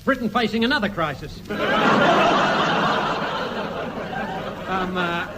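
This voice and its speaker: An actor playing a naive, amiable, slow-witted man in a 1950s British radio comedy, innocent and obliging. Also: sits high, animated. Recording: noisy vintage radio recording.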